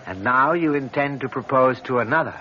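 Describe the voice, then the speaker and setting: A muffled, hissy old radio recording of a man acting as an urbane, lovelorn rival. His middle-pitched voice is measured, ironic and insinuating. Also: pushed and bright, close to an everyday voice. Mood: wry needling. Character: wry, urbane male friend and lovelorn rival.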